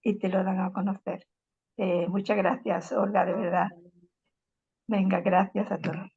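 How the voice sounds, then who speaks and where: A woman's voice: mid-range voice, little pitch movement, measured pace, creaky, a little mellow, husky, lo-fi sound. A woman sharing thoughts in a casual group discussion, hesitant, repeating words and often adding tag questions. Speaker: study group participant.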